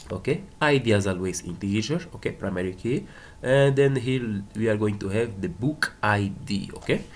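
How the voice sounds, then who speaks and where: Low-pitched man with Portuguese accent, tutorial. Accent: Portuguese-speaking. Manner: repeats words and tags sentences with "okay?". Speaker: coding tutorial instructor. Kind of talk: casual step-by-step screencast tutorial. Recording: clear background noise, keyboard typing and clicks. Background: computer keyboard typing and clicks.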